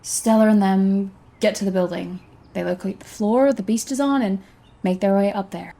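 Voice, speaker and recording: A woman performs a monster hunter in an audio drama. Her voice is mellow, dark and husky at a middle pitch. She tells grim events soberly and plainly. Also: normal speed.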